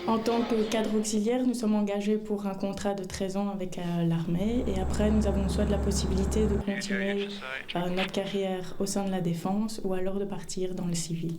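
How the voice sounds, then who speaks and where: A woman giving an interview testimonial, speaking hesitantly with frequent filled pauses. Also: mid-range voice, level tone, music in the background. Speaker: air traffic controller.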